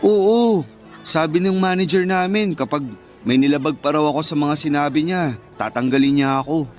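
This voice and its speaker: A man's voice: fairly high pitch, normal speed, lightly acted. Male actor as young worker and boyfriend, conversational and protesting, radio drama, light music bed. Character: frustrated young male fast-food worker, devoted boyfriend.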